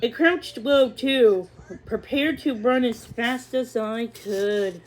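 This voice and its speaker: A woman's voice: fairly high pitch, slow, a little hiss or hum, rough recording. A woman narrating a scripted children's story as a read-along.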